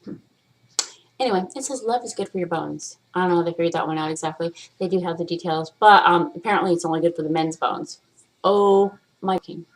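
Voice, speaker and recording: Candid, rambling woman vlogger, slightly low. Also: fairly quick, rough recording. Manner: candid, rambling, swears freely; playful, trying out voices. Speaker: vlog host. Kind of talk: casual chat to camera.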